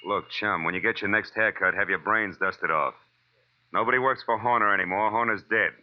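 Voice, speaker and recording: An actor playing a cynical, tough private eye with an American accent, sardonic in a 1940s radio detective style. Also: male voice, lowish voice, brisk, natural voice. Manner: sardonic wisecracks, tough first-person narration. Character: wry, hard-boiled male private detective, cynical and tough. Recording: muffled old radio recording with music.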